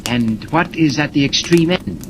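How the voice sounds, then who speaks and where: An actor playing a confident scientist and inventor in a 1940s radio serial, measured and formal as he explains. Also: fairly high pitch, lively intonation. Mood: assured, explaining. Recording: noisy vintage 1940s radio recording.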